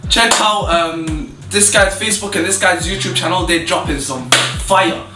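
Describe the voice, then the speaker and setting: A man's voice: high voice, moderate pace, far from the mic. A young man in a small home kitchen, hosting a jokey mock cooking video, stumbling over his words and bossing the cameraman around. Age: young adult. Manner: stumbling, playful, bossy with the cameraman. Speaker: vlogger playing a cooking show host. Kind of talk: joking mock cooking show. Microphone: camera mic on a handheld camera. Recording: echoey kitchen from off-mic, music behind.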